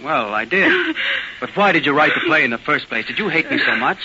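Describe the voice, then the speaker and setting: An actor playing a hot-headed, lovestruck American adventurer in an old-time radio drama, with emphatic, indignant delivery. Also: male voice, a little high, sing-song, a little fast, pushed and bright, a little hiss or hum, exaggerated voice. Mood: wounded, reproachful. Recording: dull lo-fi old radio recording.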